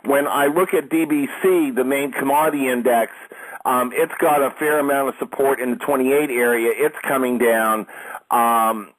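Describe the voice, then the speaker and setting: Husky-voiced man giving market commentary. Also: mid-range voice, rough recording. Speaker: market analyst hosting a conference call.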